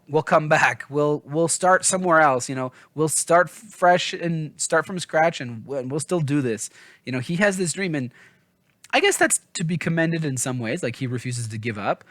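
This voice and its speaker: A man's voice: mid-range voice, fairly bright tone, no room sound. A man chatting informally to viewers about a book, rambling and self-deprecating as he works out his thoughts. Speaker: book review vlogger.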